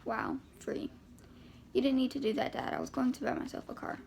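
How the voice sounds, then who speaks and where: Woman reading as a reserved, creaky teenage daughter. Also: a little high, wildly swooping pitch, a little fast, a little mellow, a little hiss or hum, full character voice. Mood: grateful. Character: reserved, polite, self-conscious teenage girl, the daughter.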